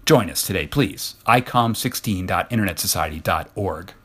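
Male podcast host, American accent, fairly low register. Earnest, informal solo announcer with emphatic phrasing. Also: expressive, moderate pace, fairly bright tone, breathy edge.

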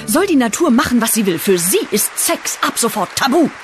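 Radio comedy role: indignant, bossy female chief, higher pitch, animated delivery. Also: a little fast, faint music behind. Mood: stern bossing. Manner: indignant, commanding. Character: bossy, prim female boss of a heavenly agency. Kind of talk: comedy radio play acting.

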